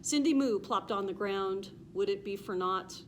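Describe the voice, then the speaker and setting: An American woman reading a children's storybook aloud with expressive, emphatic, sing-song rhyming delivery. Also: mid-range voice, normal speed, slight background noise.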